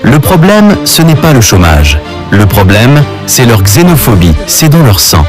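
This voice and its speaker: A man's voice: medium pitch, sing-song, a little slow, soft background music. A man from Rwanda speaking emphatically and with indignation in a played-in clip. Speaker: interviewee in a news clip.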